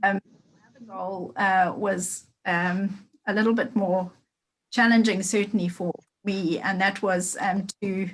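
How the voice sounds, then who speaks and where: A middle-aged woman with a Zimbabwean accent, speaking in an online group meeting in a measured, hesitant way with many ums and restarts. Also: mid-range voice, steady tone, a little hiss or hum, low-quality recording. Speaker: meeting participant sharing an update.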